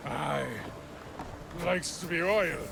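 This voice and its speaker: A man's voice: a little high, slow pace, gravelly, full character voice. A man playing a dim-witted, crude male wrestler in a video game, talking in broken, slurred grammar. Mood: crude, boastful. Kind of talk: video game cutscene acting. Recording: loud background ambience noise.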